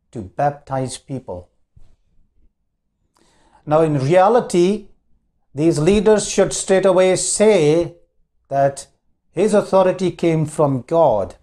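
A middle-aged man giving a sermon on a lapel mic, preaching in a slow, deliberate way with pauses. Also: high-pitched, mellow. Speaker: minister. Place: small indoor studio, red backdrop.

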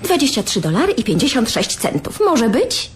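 A woman's voice: on the high side, sing-song, moderate pace, music in the background. A woman acting as a gambler's exasperated partner in a radio drama, asking pointed questions with dry sarcasm. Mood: sardonic.

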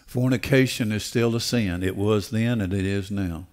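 Older male preacher, fairly deep voice, emphatic and deliberate delivery from a church stage. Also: a little mellow. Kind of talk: sermon. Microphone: headset.

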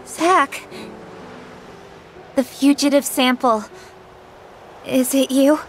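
A young agent in a video game, guarded yet concerned. Her voice is high and her delivery slow and careful. Faint music sits behind her. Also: steady tone. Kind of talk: English video game dub acting.

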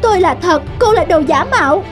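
A woman's voice: extremely high pitch, expressive, fairly quick, soft background music. A woman playing an indignant, emotional young peasant wife in a cartoon, speaking insistently. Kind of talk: Vietnamese cartoon dialogue.

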